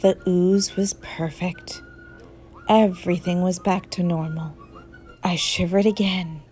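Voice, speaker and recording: A woman reading a children's storybook aloud as an expressive narrator. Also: lowish voice, takes it slowly. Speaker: story reader, channel host. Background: music and sound effects.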